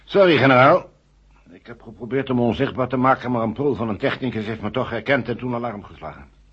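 An actor playing a sober, matter-of-fact astronaut explaining himself in a 1970 radio drama. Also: male voice, medium pitch, fairly quick, slightly rough, natural voice. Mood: apologetic. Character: sober male astronaut confessing a secret plot. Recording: dull, muffled old radio recording.

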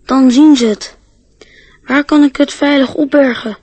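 High-voiced earnest little boy. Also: steady tone, unhurried, darkish tone, no room sound. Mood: anxious. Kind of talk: radio drama acting.

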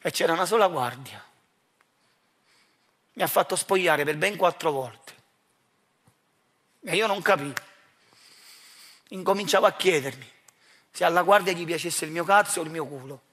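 Higher-voiced Neapolitan man, theatrical monologue. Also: normal speed, long pauses, fairly bright tone, husky. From Naples, Italy. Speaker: actor and writer performing his own monologue.

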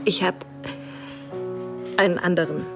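A woman's voice: on the low side, fairly even intonation, measured pace. Earnest, wistful woman over music. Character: wistful, questioning woman still in love with her ex. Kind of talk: intimate radio drama dialogue.

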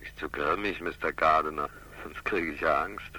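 An actor playing a suave, menacing criminal in a 1960s German radio crime drama, raspy and ironically polite. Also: male voice, a bass voice, normal speed, pushed and bright, full character voice, low-quality recording. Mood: mock-polite. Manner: smooth-talking, ironic, condescending.

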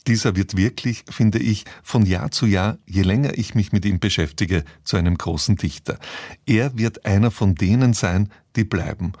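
A man's voice: fairly low pitch, moderate pace, a little mellow, no room sound, studio quality. A man presenting on radio, reading a scripted introduction. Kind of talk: scripted narration, broadcast introduction.

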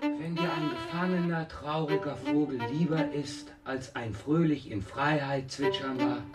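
A man's voice: a little high, unhurried, over music, lightly acted. An actor playing an evasive, dreamy, restless father in a children's radio drama, hesitant and stammering as he pleads his excuses. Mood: pleading.

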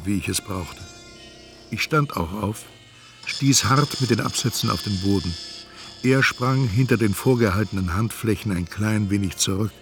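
An actor playing an introspective first-person narrator in a literary radio play. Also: male voice, fairly low pitch.

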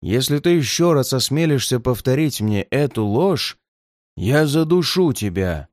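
A man's voice: medium pitch, sing-song, darkish tone, no echo, clean studio sound. A man doing a menacing red-haired giant. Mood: threatening. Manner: threatening, slow and heavy.

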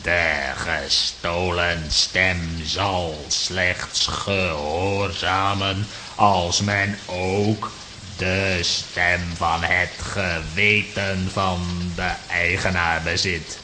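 An actor playing a scheming, villainous sorcerer in a children's audio play, with a menacing, theatrical delivery. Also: male voice, low-pitched, flat delivery, extremely slow, noisy background. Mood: gloating. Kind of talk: Dutch-language children's audio play acting.

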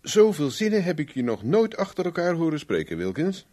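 An actor playing a wry, stubborn private investigator in a 1970s radio play, brisk and ironic. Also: male voice, a little high, big pitch swings, dark tone. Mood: determined.

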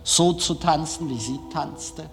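A man speaking in a reflective personal monologue, deliberate and emphatic, with long pauses. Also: fairly high pitch, vocal fry, over music. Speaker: film director.

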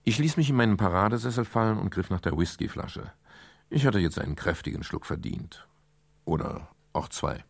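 Here is a hard-boiled male private eye in a radio crime drama. His voice is very low and gravelly, with a husky edge. He is dry, laconic and brusque, sardonic about the world around him. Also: normal speed, no echo. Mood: laconic, brooding. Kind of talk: 1970s German radio crime drama, first-person narration.

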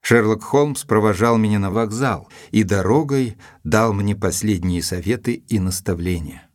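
An actor playing a loyal, composed gentleman companion who tells his story in a measured audio drama manner. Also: fairly low pitch, close to an everyday voice. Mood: composed, narrating. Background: music.

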